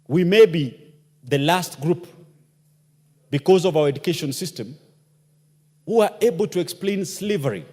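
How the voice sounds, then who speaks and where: Guy giving a fired-up speech in parliament, high voice that swings all over, echoey chamber. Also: expressive, moderate pace, long pauses, darkish tone. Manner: impassioned, rhetorical questions. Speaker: member of parliament. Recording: long hall reverb.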